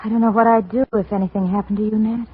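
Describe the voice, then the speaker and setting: A woman's voice: mid-range voice, fairly even intonation, racing, slightly rough. An actress playing a scheming girlfriend, devoted on the surface and vengeful underneath, in old-time radio melodrama style. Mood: devoted, anxious. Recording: muffled, noisy old radio drama recording.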